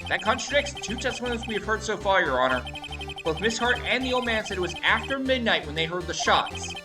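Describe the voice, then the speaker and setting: Woman as an earnest, animated young male defense lawyer. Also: medium pitch, fairly quick, far from the mic, voice pushed up, close to an everyday voice. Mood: assertive. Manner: emphatic, argumentative. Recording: loud game music behind.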